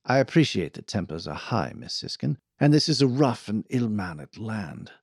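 A man voicing a pompous gentleman employer in a Western story, speaking in formal, haughty, wordy phrases. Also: fairly low pitch, very animated, normal speed, no echo, studio quality. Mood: stiff, restrained. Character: pompous, fussy gentleman employer in the Old West.

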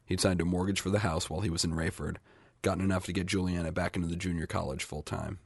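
Man, low pitch, husky gravelly voice, literary audiobook narration, dry close sound. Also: little pitch movement, quick pace, dry recording. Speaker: short story reader. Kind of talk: scripted literary fiction narration.

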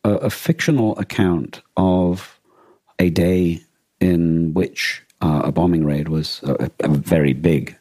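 A man co-hosting a podcast in casual discussion, halting often as he searches for words. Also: low-pitched, steady tone, dark tone, dry recording. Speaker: podcast co-host. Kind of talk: casual discussion of a radio drama.

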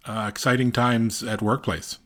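A man's voice: medium pitch, level tone, normal speed, a bit bright. A man co-hosting a podcast in casual banter, with a dry, joking manner. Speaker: co-host of an industry news podcast.

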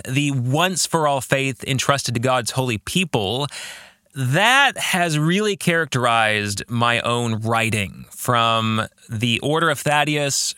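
A man's voice: fairly high pitch, pushed and bright, no echo, studio quality. A man hosting a podcast, narrating in an earnest, emphatic way as an author introducing his work.

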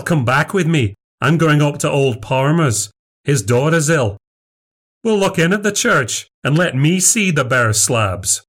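A man acts out a big, raw-boned Irish doctor from a storybook. He is brusque and scoffing, speaking with an Irish accent. His manner is bluff and commanding, full of hard common sense. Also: medium pitch. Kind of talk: storybook character dialogue.

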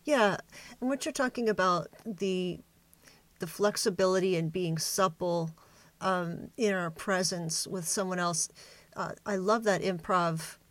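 A woman's voice: on the low side, unhurried, no echo. A woman hosting a reflective interview, attentive and thoughtful, given to short murmured acknowledgements. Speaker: podcast host.

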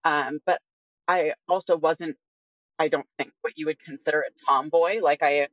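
A woman's voice: low voice, fairly even intonation, normal speed, no echo. Young woman, slightly dull lo-fi audio. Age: early 20s. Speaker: interview guest. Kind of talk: reflective personal account in conversation.

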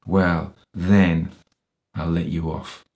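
A man's voice: low voice, level tone, extremely slow, creaky, darkish tone, full character voice. A man reading a story, voicing a crafty, menacing ex-policeman villain, bitter and self-pitying, who sneers and taunts. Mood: grim, threatening. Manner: sneering, taunting, with sudden rises and whines.

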